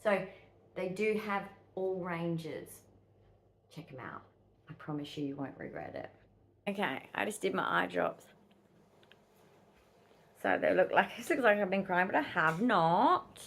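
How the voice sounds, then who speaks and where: Croaky Australian woman, chatty vlog. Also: fairly low pitch, sing-song, unhurried, long pauses, echoey room. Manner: chatty, rambling, laughs often. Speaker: vlogger. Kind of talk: casual vlog chat. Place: at home, later in a car.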